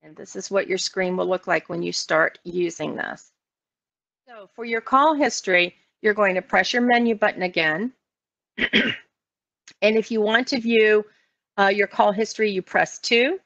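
Creaky-voiced American woman giving step-by-step training. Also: medium pitch, moderate pace, fairly bright tone, no room sound. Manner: instructional, explanatory. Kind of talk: step-by-step software and phone training walkthrough. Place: online meeting screen-share, voice only. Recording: dull lo-fi online meeting audio.

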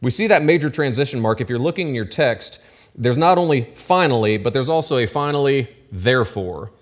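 A man in his thirties, a pastor giving a sermon from a church pulpit. He explains the text in a relaxed, conversational way, with asides and quick checks that the congregation is following. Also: medium pitch. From United States. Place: church stage with pulpit, indoors. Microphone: lectern mic at pulpit.

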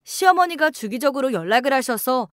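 Female story narrator, slightly high voice, dry close sound, scripted first-person reading. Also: lively intonation, fairly quick, dry recording.

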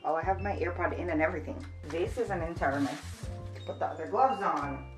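A woman's voice: sits low, a little fast, music in the background. A woman in her twenties from Jamaica, chatting casually to camera in a how-to vlog, explaining each step as she works. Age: 20s. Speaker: vlogger. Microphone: camera on a shelf a few feet away.

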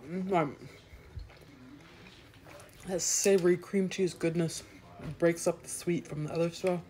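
A woman's voice: fairly low pitch, a little mellow. A woman in her thirties filming a casual food review vlog on a phone at arm's length at home, chatting and describing each bite between mouthfuls. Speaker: food vlogger. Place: indoors at home, small room. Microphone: phone held at arm's length.

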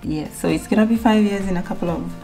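A woman's voice: mid-range voice, fast talker, gravelly, mellow, faint music behind, low-quality recording. A young woman from Kenya talking alone to her camera at home in a reflective, rambling sit-down vlog, full of fillers and restarts. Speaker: vlogger.

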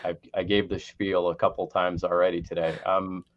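A man's voice: low-pitched, level tone, moderate pace. A middle-aged man from Connecticut, a guest pathologist on a video call, talking in a rambling way with many restarts. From Connecticut, USA. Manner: rambling, frequent restarts and repeated words. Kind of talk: conversational interview on a video call. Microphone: headset mic on a video call. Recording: slightly dull video-call audio.

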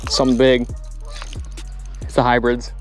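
A young man narrating his fishing from a boat outdoors, excitable, swearing and laughing a lot. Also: mid-range voice, a little slow, faint music behind. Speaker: fishing vlogger. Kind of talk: excited fishing vlog commentary.

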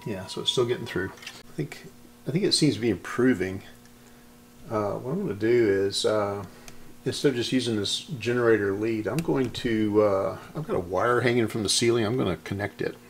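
An older man narrates his own repair work in a casual, think-aloud way. His voice is on the low side and gravelly, with faint noise in the workshop around him. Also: brisk. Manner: unscripted, thinking aloud while working. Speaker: host repairing vintage radios. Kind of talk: casual hands-on repair vlog. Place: indoor electronics workbench. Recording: noisy workshop, clicking sounds.

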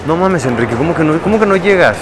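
A man in his thirties with a Mexican accent, talking on a reality show in an expressive, exasperated way. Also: on the high side, quick pace. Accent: Mexican Spanish. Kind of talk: confessional reality-show talk. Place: indoor apartment at night. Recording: background music under voice.

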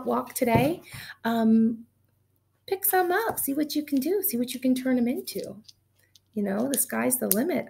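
Teacher, woman in her thirties, slightly higher voice, animated storytime delivery for kids, webcam sound. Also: creaky. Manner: animated, gushing, talks directly to children. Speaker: teacher reading aloud. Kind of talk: children's read-aloud. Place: small indoor room at home. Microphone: webcam at desk distance.

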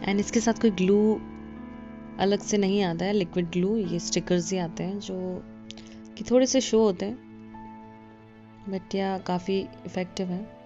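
A woman giving a relaxed, casual voice-over for a nail tutorial, slipping English phrases into her talk. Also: mid-range voice, a little slow, pauses often. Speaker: beauty vlogger. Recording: dull lo-fi voice-over with faint music.

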